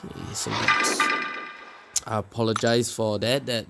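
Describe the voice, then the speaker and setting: A man giving casual, unscripted commentary on a mobile game, speaking haltingly and often pausing mid-sentence. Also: on the low side, very animated, gravelly, very noisy. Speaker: gameplay video creator. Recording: music behind the voice. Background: loud game music.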